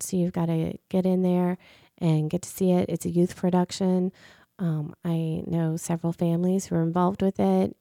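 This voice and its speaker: A middle-aged woman hosts a radio show alone in the studio, talking casually with plenty of ums and false starts. Her comes through in a mellow, fairly low voice with a gravelly edge. Her voice is still a little off after illness, and the studio sound is dry. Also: no room sound. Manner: chatty, many ums and restarts. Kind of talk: solo casual radio talk.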